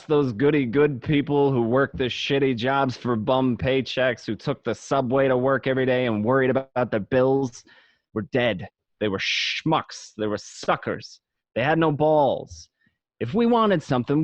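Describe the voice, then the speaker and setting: A man's voice: medium pitch, no echo, lightly acted. A man playing a cocky gangster who narrates his life of crime in an amateur radio play. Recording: dry, dull home recording.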